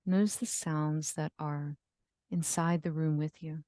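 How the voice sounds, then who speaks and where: A woman leading a guided yoga nidra relaxation, giving gentle, soothing instructions with long pauses. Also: sits low, steady tone, no room sound, clean studio sound. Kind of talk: guided meditation.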